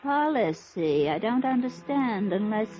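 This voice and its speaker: High-pitched, theatrical séance medium. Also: female voice, animated, dark tone. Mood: trance-like, mysterious. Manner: grandiose, incantatory, drawn-out trance delivery. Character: theatrical middle-aged woman spirit medium holding a séance. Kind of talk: 1950s radio drama acting. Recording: dull vintage radio recording, faint music.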